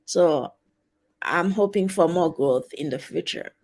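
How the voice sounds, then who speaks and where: Woman, 40s, heavy Haitian accent, lowish voice, halting, lots of fillers, casual interview guest. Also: darkish tone. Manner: halting, searching for words, many fillers. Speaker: guest, travel advisor.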